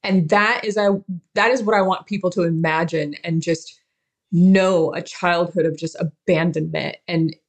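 A woman's voice: fairly low pitch, fairly even intonation, moderate pace. A woman from Pakistan speaking earnestly and emphatically as an interview guest, repeating key words for stress. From born in Karachi, Pakistan. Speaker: interview guest, forced-marriage survivor and advocate.

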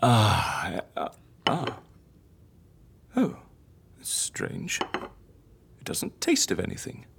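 A woman's voice: rumbling low, huge pitch swings, extremely slow, vocal fry, long pauses, slightly rough, heavily acted. An actress in an audio drama playing a fussy, eccentric, sceptical woman who reassures with hesitant patience and dry wit. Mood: coaxing, fussy.

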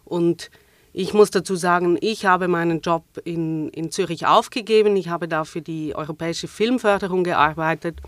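A woman's voice: lowish voice, normal speed, no room sound. Swiss woman, dry close sound. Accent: Swiss German. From Switzerland. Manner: relaxed, with frequent fillers and restarts. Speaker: guest. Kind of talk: casual interview conversation.

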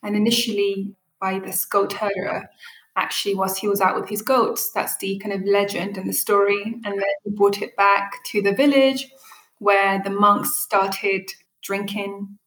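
Woman, thirties, founder guest, earnest storyteller, narrow pitch, echoey room. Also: little pitch movement, unhurried, fairly bright tone. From Eritrea and Ethiopia. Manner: earnest storytelling, frequent fillers. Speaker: coffee brand founder, guest. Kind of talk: interview answers.

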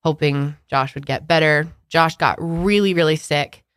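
A woman's voice: low-pitched, unhurried, fairly bright tone, smooth, dry recording, studio quality. A young woman co-hosting a podcast, chatting and narrating a story, nasal with a scratchy throat from a cold. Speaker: podcast co-host. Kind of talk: casual chat and narration.

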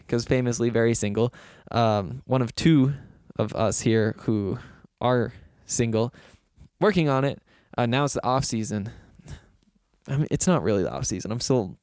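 A young man hosting a sports podcast, rambling casually with lots of fillers, his voice a bit raspy and hoarse from yelling. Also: mid-range voice, dry recording, studio quality. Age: young adult. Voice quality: slightly raspy. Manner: loose, self-deprecating, meandering with fillers.

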